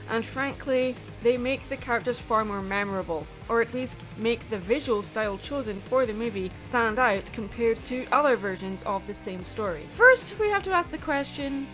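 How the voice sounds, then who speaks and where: A woman with a somewhat high-pitched voice narrates a scripted video essay. Her delivery is playful and expressive, leaning on rhetorical questions. Soft music plays behind her voice. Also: moderate pace, hardly any pauses. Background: background music.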